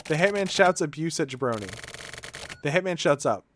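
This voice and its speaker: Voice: mid-range voice, animated, brisk, noisy background. A video game streamer reading on-screen game text aloud in a theatrical manner during a livestream.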